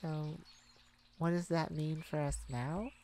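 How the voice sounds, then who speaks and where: Slow-talking, flustered young mage played by a woman. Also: sits low, background noise. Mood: shy, tender. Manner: hesitant, stammering, soft-hearted. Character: kind, flustered, protective young mage, shy childhood friend. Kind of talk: ASMR romantic roleplay audio drama. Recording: steady outdoor birdsong ambience behind voice.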